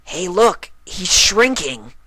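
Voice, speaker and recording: Man doing a high, brash young pegasus mare. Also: big pitch swings, slow, sharp tone, slight background noise, full character voice. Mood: excited, pressing. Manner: pushy, eager. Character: brash, excitable young female pegasus pony.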